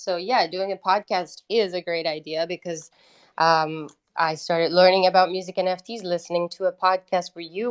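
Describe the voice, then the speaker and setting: A woman's voice: on the low side, smooth. A woman from Italy, a native Italian speaker, chatting informally as a musician guest in an interview. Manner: informal, peppers talk with "like". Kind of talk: casual interview chat.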